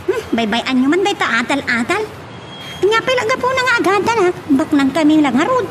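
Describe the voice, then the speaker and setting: An actress playing a spoiled, rebellious young student daughter in a radio romance drama, petulant and flippant. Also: female voice, very high voice, very animated, normal speed, noisy background.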